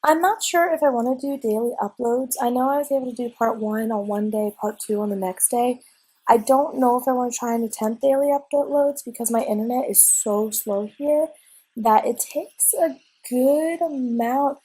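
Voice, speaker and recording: A woman's voice: fairly high pitch, normal speed, dark tone, breathy edge, poor audio. Sniffly young woman chatting through gameplay. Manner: rambling, chatty, frequent ums and restarts. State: recovering from a cold, sniffly. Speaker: let's play gamer. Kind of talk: casual gameplay commentary. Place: at home at a desk.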